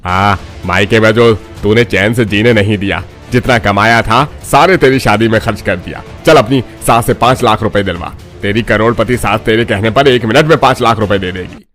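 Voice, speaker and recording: A man's voice: on the low side, moderate pace, hardly any pauses, fairly bright tone, close to an everyday voice. Man acting a greedy, scolding father. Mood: resentful, demanding. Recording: music bed under the voice.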